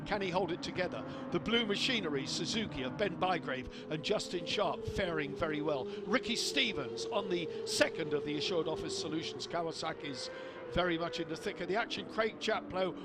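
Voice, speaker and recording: Male motorsport commentator, excitable, raised pitch, heavy engine noise behind. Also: a little fast. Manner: excitable, rises sharply at on-track incidents. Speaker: race commentator. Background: racing engines.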